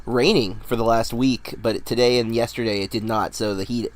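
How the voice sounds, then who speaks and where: A man's voice: mid-range voice, normal speed. An American man, around his thirties, co-hosting a casual movie podcast, slightly nasal from a head cold and talking in a loose, rambling way. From United States. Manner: relaxed, rambling with fillers and restarts. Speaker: co-host.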